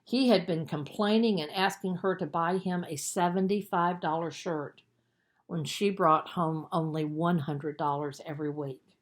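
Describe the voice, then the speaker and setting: Woman reading a kids book aloud, lowish voice, expressive storyteller, leans on key words. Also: unhurried. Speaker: author reading own book. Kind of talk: children's audiobook narration.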